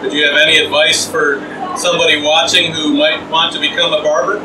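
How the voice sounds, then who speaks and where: A man's voice: a little high, flat delivery, a little fast. A middle-aged man hosting a casual interview while getting a haircut, recorded by a far camera mic in a small trailer with fan noise. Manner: chatty, asks questions while getting a haircut. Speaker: host. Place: small trailer interior, windy outdoor event. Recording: roomy far-off sound, fan and wind noise.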